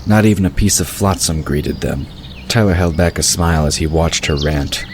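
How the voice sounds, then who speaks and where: Male narrator, extremely deep, measured storytelling; hissy recording. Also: a little mellow. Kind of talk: scripted audiobook narration. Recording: hissy recording with bird ambience.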